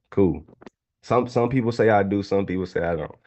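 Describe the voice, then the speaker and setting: Deep dark-voiced guy in his 20s hyping up a live trading class, keeps talking to the chat, kinda dull lo-fi audio. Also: moderate pace. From Atlanta, Georgia. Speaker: trading instructor.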